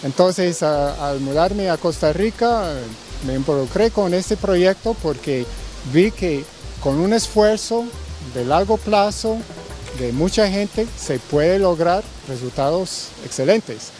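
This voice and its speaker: A man's voice: sits high, animated, takes it slowly, a little mellow, soft background music. Older American man, reflective. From Massachusetts, United States. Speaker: environmental volunteer. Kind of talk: interview.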